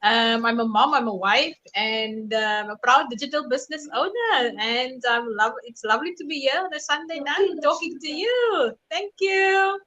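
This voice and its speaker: A woman's voice: sits high, moderate pace, few pauses, bright, forceful tone. A woman from South Africa, a midwife, introducing herself cheerfully on a live online call. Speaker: midwife, panelist. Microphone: video call. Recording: faint background noise and clicks.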